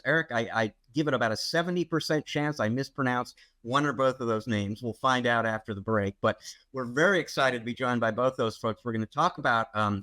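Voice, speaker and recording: A man's voice: medium pitch, bright, forceful tone, no room sound. A man co-hosting a podcast, introducing guests in a casual, upbeat way with frequent ums and uhs. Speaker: podcast co-host.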